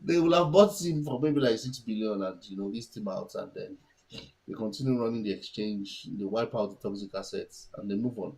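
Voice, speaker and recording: A man's voice: lowish voice, fairly quick, a little mellow, husky, rough recording. A man whose first language is Yoruba, giving casual market commentary and thinking aloud with many restarts and fillers.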